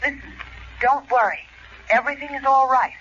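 An actress playing a hurried, insistent young woman on the telephone in a 1940s radio serial. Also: high voice, sharp tone, slight background noise. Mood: hurried, reassuring. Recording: muffled telephone in old radio recording.

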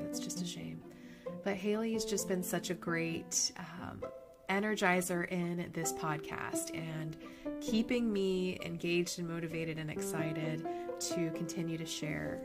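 A woman hosting her own podcast, talking in a casual, upbeat and encouraging way with a slightly nasal voice. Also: on the low side. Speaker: podcast host. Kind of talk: casual motivational monologue.

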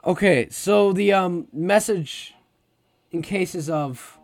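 A young adult man hosting his own podcast, talking in a rambling, confessional way, his voice a little hoarse as it recovers. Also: high voice, slow, no echo. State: slightly hoarse, recovering lost voice. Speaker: podcast host. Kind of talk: casual solo podcast talk. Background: faint jazz music.

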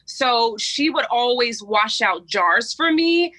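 A woman in her forties, a coach speaking as a guest in an interview, energetic and emphatic as she tells stories. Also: on the high side, slow pace. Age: 40s. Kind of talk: interview conversation.

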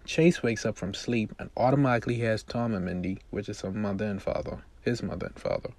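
A man's voice: lowish voice, fairly even intonation, fairly quick, a little mellow, dry recording. A man reading a movie script aloud, narrating scene headings and action as its amateur writer.

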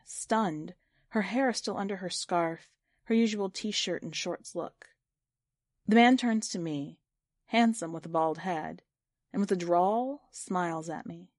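A woman's voice: mid-range voice, darkish tone, no echo, clean studio sound. A woman reading a first-person short story in literary audiobook style. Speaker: story narrator.

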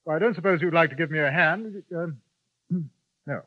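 An actor playing an urbane, dryly polite English newspaper correspondent in a 1950s radio western, with an English accent. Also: male voice, fairly high pitch, normal speed, dry recording, natural voice. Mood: wry, polite. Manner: courteous, wry, understated. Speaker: lead actor and narrator. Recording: muffled vintage radio broadcast, music behind.

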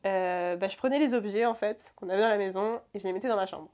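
A young woman in her twenties speaks at a middling pitch about her past, pausing often and restarting sentences. Her delivery is halting and full of fillers. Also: darkish tone. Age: 20s. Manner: hesitant, many fillers and restarts. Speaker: guest. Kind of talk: personal confession in conversation.

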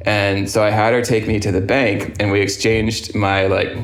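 A man from Europe with a fairly low voice tells a childhood story in relaxed conversation. His voice sounds roomy, with clear echo around it. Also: normal speed. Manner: relaxed storytelling, laughs easily. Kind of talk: casual conversation, anecdote.

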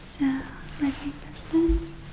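A woman playing a gentle, caring witch in a whispered ASMR roleplay, speaking softly and soothingly with hesitant phrasing. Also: high voice, flat delivery, slow, poor audio. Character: gentle caring witch running a magic spa. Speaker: ASMR performer.

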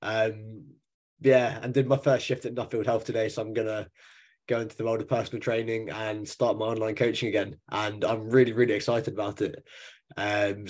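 Guy co-hosting a podcast, bit croaky, chatty and self-deprecating. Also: lowish voice, darkish tone. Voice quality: slightly croaky, husky voice. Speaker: podcast co-host. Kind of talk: casual podcast chat.

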